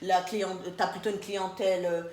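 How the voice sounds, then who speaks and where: A woman's voice: on the low side, level tone, moderate pace, a little mellow. A woman hosting a casual interview show, chatting and prompting her guest with short acknowledgements. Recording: echoey room with faint background noise.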